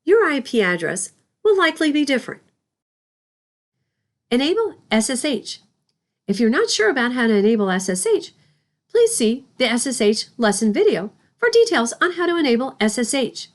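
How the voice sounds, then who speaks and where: A woman narrates a scripted lesson as an instructor. Her voice sits fairly high and moves up and down in a lively way. She speaks carefully, explaining step by step. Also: normal speed.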